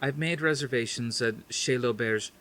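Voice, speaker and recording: A man's voice: mid-range voice, normal speed, fairly bright tone, voice pushed up, lightly acted. A man reading an audiobook, voicing an insistent blonde woman.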